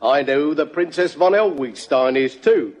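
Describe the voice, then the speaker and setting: Mocking older male rogue acted by a man, highish and very animated, slangy teasing drawl, vintage radio play. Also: normal speed, natural voice. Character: sly, mocking old male crook crony. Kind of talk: old-time radio drama acting.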